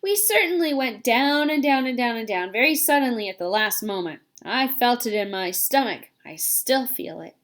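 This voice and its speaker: A woman's voice: on the high side, animated, unhurried, few pauses, fairly bright tone. A woman voicing a blind, pessimistic earthworm in a storybook reading, doleful and complaining. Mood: gloomy.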